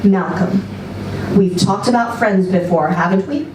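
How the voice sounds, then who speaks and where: A woman voicing a stern, disapproving female therapist in a story reading, with slow, deliberate, clipped delivery. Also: mid-range voice, close to an everyday voice. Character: stern, frowning woman therapist prescribing misery. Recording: roomy, distant mic, faint noise.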